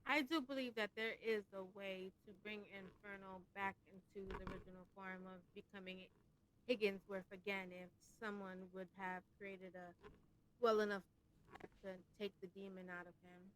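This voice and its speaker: A woman in a live roleplay playing an earnest listener at a fantasy gathering, with formal, deliberate phrasing. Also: mid-range voice, steady tone, natural voice, low-quality recording. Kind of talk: improvised in-character roleplay. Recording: faint noise with clicks.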